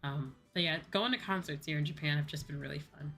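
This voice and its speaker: Young female VTuber, slightly low voice, casual informal stream chat over background music. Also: fast, fairly bright tone. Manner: chatty, informal, repeats phrases.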